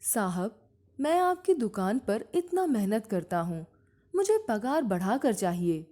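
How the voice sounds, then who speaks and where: A woman's voice: mid-range voice, big pitch swings, measured pace, warm tone. A woman voicing an earnest, questioning male shop worker in a storybook tale, with a direct manner. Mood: pleading.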